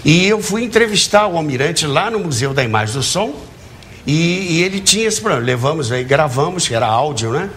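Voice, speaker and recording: Older male, Brazilian accent, very lively intonation, emphatic interview storyteller, slightly noisy sound. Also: on the high side, fairly quick. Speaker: talk show guest, radio and TV educator. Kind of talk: interview, reminiscing.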